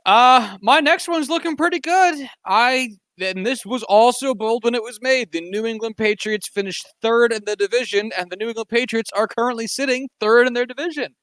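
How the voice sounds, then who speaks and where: A man's voice: very high-pitched, normal speed, few pauses, fairly bright tone, dry recording. A man co-hosting a casual sports podcast, talking in a wry, sarcastic, rambling way with plenty of fillers. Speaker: podcast co-host.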